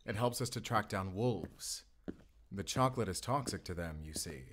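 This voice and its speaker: Low-voiced, wry wolf hunter. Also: male voice, animated, moderate pace, a bit bright, slight background noise. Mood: playful, teasing. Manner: teasing, conversational, explaining to a companion. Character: wry, playful male German wolf hunter, confident, protective. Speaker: voice actor. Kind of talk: cinematic audio roleplay acting.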